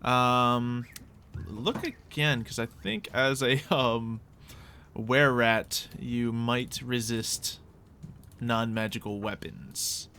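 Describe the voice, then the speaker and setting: A man with a bright, keen-edged voice runs a tabletop game. He improvises the action and talks through the rules casually. A light layer of insect-like ambience sits behind his medium-pitched voice. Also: extremely slow, slight background noise. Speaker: game master.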